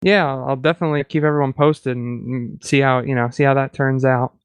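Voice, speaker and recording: A chatty man co-hosts a casual tech podcast. His voice is mid-pitched and smooth, recorded close and dry. He talks about himself in a self-deprecating way. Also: fairly even intonation, fairly quick, no echo. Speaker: podcast co-host.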